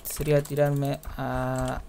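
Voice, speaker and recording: Soft-muttering Chilean young man. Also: mid-range voice, completely flat delivery, slow, creaky, a little hiss or hum. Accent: Chilean Spanish. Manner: quiet muttering, repeats words in runs. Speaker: gaming YouTuber. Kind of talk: casual gameplay commentary.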